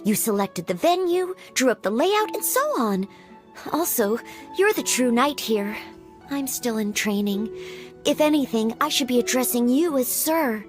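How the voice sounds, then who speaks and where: A woman's voice: on the high side, expressive, measured pace, soft background music. A woman voicing an earnest, humble, formally polite young female knight-in-training in a video game.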